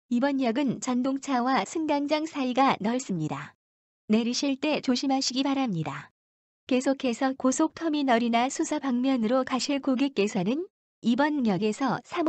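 A woman reading scripted subway announcements as a train announcer, formally and with measured enunciation. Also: sits high, expressive, darkish tone, no echo, lo-fi sound.

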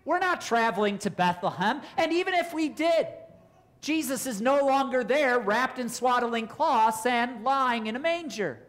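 Casting: male preacher, forties, clear teaching sermon delivery, emphatic, posing questions to listeners. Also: extremely high pitch, lively intonation, a bit bright. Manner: deliberate, emphatic, explanatory. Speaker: Lutheran pastor. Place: church, at a pulpit indoors.

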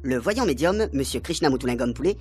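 A man's voice: mid-range voice, expressive, quick pace, darkish tone, soft background music. A man reading a scripted recap as the narrator of a radio serial.

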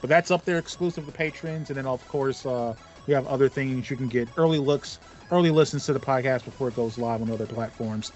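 A man's voice: mid-range voice, a little fast, hardly any pauses, darkish tone, faint music behind. A man in his thirties co-hosting a podcast in casual chat, acting out his thoughts as he talks. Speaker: podcast co-host. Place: small room at home with acoustic foam, indoors. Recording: slightly dull, band-limited audio.

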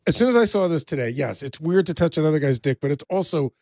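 A man's voice: on the high side, animated, fast talker, darkish tone, no echo. A man on a radio show staff, eager and amused in studio banter.

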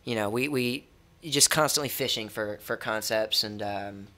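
Bright-voiced young American man. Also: fairly low pitch, normal speed. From United States. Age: young adult. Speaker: musician being interviewed. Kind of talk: casual chat. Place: indoor music studio. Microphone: stand microphone.

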